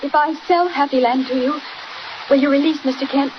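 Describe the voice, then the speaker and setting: An actress plays a young heroine in old radio drama, gentle and full of worry. Her very high voice pleads earnestly. The recording is dull and noisy with age. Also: steady tone, fairly quick, natural voice. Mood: pleading. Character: anxious, gentle young female amusement park owner. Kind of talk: 1940s radio acting.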